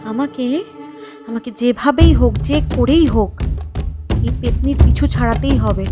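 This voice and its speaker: A woman's voice: fairly high pitch, measured pace, loud music behind the voice, natural voice. A woman voicing a bold young village woman in a cartoon, who speaks firmly and scolds. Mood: scolding. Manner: firm, scolding. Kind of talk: cartoon voice acting.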